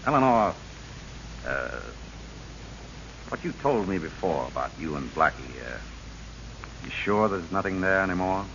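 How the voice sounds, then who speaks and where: An actor playing a courteous, gently hesitant gentleman suitor in an old radio drama, polite in manner, on a muffled, hissy recording. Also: sits low, very animated, a little slow, long pauses, full character voice. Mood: tender, hesitant. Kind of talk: 1930s-40s radio drama acting.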